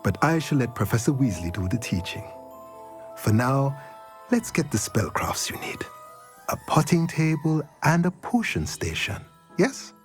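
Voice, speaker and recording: An actor playing a courteous, enthusiastic magic-shop proprietor in a video game, speaking in a measured, savoring sales manner. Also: male voice, medium pitch, very animated, soft background music. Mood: helpful, enthusiastic. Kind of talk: video game character acting.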